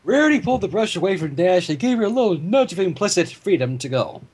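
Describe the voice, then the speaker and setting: Male story reader, husky tone, very lively pitch movement, informal and playful with asides. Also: fast talker. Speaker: fan fiction reader. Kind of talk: animated story narration with joking asides.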